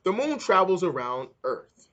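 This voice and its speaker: Man reading as an animated, encouraging male schoolteacher. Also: high-pitched, unhurried, lo-fi sound, heavily acted. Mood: instructive. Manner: encouraging, instructive. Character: encouraging male schoolteacher explaining science to children.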